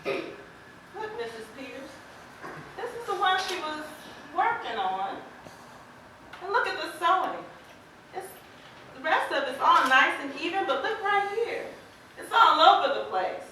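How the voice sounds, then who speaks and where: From a very echoey stage with faint noise comes a high, bright farm wife, acted by a woman. She is plain-spoken and folksy, sympathetic and quietly defiant. Her delivery is deliberate, pitched for a live amateur play. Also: big pitch swings, recorded from a distance, exaggerated voice. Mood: fidgety, thoughtful. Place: indoor theater stage, distant microphone.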